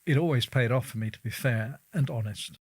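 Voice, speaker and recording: A man reading the quoted testimony of an elderly Czech migrant recalling his life, in a measured, reflective manner. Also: mid-range voice, slightly rough, no room sound, lightly acted.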